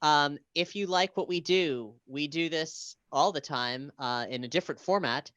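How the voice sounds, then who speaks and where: A podcast host with a smooth, clean voice in a dry, close recording. The delivery is casual and halting, addressing a livestream audience with announcements and thanks. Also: medium pitch, a bit bright, no room sound. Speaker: host of an audio drama podcast.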